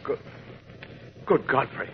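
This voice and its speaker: An actor playing a gruff, irritable middle-aged newspaper editor who blusters, in 1940s radio serial style. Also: male voice, slow pace, lightly acted. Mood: irritated, blustering. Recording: dull, very noisy old radio recording.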